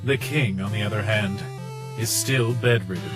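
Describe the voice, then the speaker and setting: A man's voice: fairly low pitch, normal speed. A man reading scripted story narration as the narrator of a comic dub. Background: guitar music.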